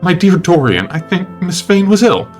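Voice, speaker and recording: A man voicing a gentle, earnest gentleman in an audiobook, kindly and pleading, with music behind him. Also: high-pitched, sing-song, normal speed, voice pushed up. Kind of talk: dramatic audiobook character reading. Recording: music under the voice.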